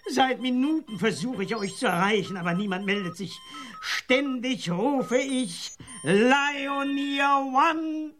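A performer voicing a fussy, pompous ground-control operator speaking careful High German over the radio. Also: slow, few pauses, a bit bright, faint music behind. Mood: officious. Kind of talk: radio play acting.

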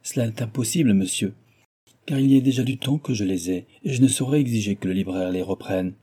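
A man's voice: lowish voice, brisk, dark tone, breathy edge. A man reading an audiobook and voicing an earnest, proper young heiress who speaks in a formal, firm way. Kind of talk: audiobook dialogue.

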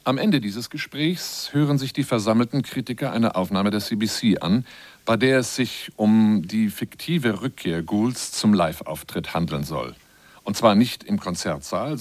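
A man's voice: lowish voice, normal speed, no echo. A man reading scripted narration for a radio documentary feature.

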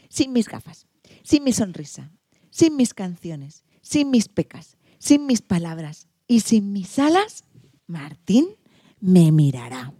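A woman's voice: medium pitch, dark tone, no room sound, clean studio sound. A woman playing an earnest, dreamy schoolgirl in a radio play of a children's storybook. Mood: wistful, hopeful.

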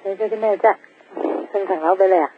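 A woman's voice: medium pitch, brisk. An actress playing a chatty, teasing young woman on the phone in a radio drama, breezily dropping in English words. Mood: teasing, breezy. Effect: telephone filter. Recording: thin phone line, faint noise.

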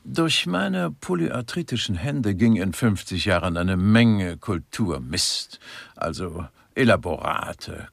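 An actor in a radio play playing a grumpy, misanthropic old critic, pedantic and world-weary. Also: male voice, low-pitched, vocal fry, husky, no room sound, full character voice. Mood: contemptuous. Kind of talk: German radio play acting.

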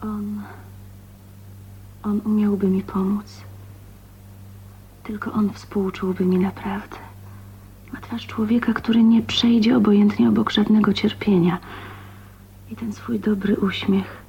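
A lonely young dreamer played by a woman murmurs an intimate soliloquy in a radio play. Her voice is breathy and creaky, on a dull recording with a faint hum. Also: medium pitch, flat delivery, unhurried, long pauses, natural voice. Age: young adult. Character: lonely, dreamy young spinster musing to herself. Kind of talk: radio drama monologue.

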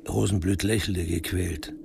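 Low gravelly male crime narrator. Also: fairly even intonation, measured pace, noisy background. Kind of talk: scripted radio drama narration, literary crime story.

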